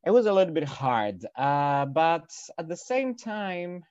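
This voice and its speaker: A man with a Greek accent, a musician guest speaking English as a second language, halting with many fillers and frequent laughs. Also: on the high side, very animated, darkish tone, clear, resonant tone, dry recording, dull audio. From Greece. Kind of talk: casual interview.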